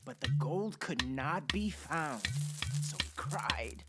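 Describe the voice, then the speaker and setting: Theatrical woman storyteller, animated and rhythmic. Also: low-pitched, takes it slowly, very noisy. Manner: theatrical, expressive, emphatic. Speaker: narrator. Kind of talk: rhythmic rhyming storytelling radio drama narration. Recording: loud music behind narration.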